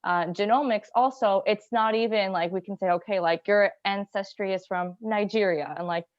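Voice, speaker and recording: A woman's voice: mid-range voice, moderate pace, no room sound. Rambling woman on a muffled call. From Iran. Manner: rambling, filler-heavy, thinking aloud. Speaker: journal club participant. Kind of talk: casual discussion on a video call. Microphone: video call. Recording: muffled dry call audio.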